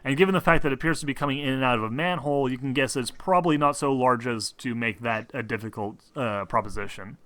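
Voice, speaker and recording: Halting man narrating a tabletop game, dry sound. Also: mid-range voice, a bit bright, no echo. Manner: halting, thinking aloud, conversational. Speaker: game master.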